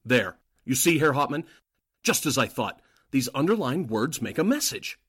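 Guy acting as suspicious careful nazi sidekick in an old radio play, mid pitch, no room sound at all. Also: sing-song, normal speed, a bit bright, breathy edge, dry recording, clean studio sound. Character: suspicious, cautious male Nazi henchman. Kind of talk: 1940s radio drama acting.

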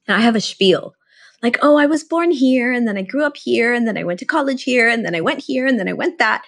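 A woman's voice: a little high, lively intonation, fairly quick, creaky, a bit bright. A woman hosting a podcast, chatting enthusiastically and using plenty of casual fillers. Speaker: podcast host. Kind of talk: casual conversational interview.

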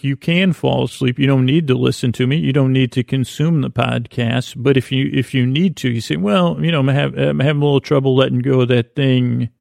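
Gravelly slow-rambling male podcaster. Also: medium pitch, darkish tone, no echo, studio quality. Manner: slow meandering soothing delivery. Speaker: podcast host. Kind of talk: rambling sleepy podcast intro monologue.

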